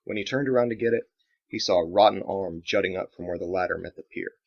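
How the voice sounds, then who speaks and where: A man's voice: sits low, fast, creaky. A man reading his own dramatic action novel as scripted audiobook narration, in a slightly dull recording.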